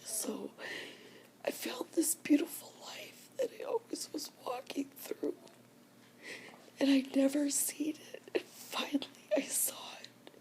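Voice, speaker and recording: Whispering older woman, tearful and slow. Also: high-pitched, darkish tone, poor audio. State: crying. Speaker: vlogger. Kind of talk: tearful personal vlog.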